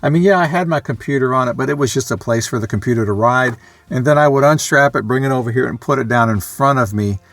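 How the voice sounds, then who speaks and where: A man's voice: mid-range voice, quick pace, a bit bright. A man giving a casual, unscripted vlog talk as a hobbyist, rambling and matter-of-fact as he thinks aloud. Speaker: vlogger, amateur radio hobbyist.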